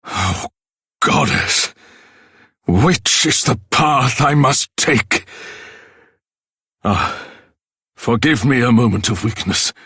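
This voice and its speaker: A guilt-burdened king in a fantasy video game. He is solemn and formal, with halting, slow phrases that swing widely in pitch. The voice is husky and recorded close and dry. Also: lively intonation, bright, forceful tone, no room sound, full character voice. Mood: troubled, weary. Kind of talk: dramatic video game voice acting.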